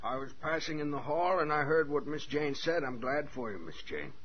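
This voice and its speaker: A man's voice: a little high, normal speed, a crowd in the background, lightly acted. An actor playing a deferential, troubled, superstitious handyman in a 1940s radio thriller, intense and plaintive. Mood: meek. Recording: muffled, noisy 1940s radio broadcast.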